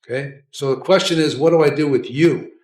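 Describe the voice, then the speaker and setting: A man's voice: a little high, brisk, a little mellow. An American man speaking as a judge in a courtroom, questioning and lecturing bluntly, repeating himself and often checking with "okay?".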